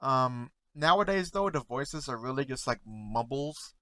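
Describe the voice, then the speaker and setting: A man in casual talk to camera, halting and stuttering, repeating words and often trailing off softly, close to the mic at home. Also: medium pitch, animated, dry recording. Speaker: content creator reacting to memes.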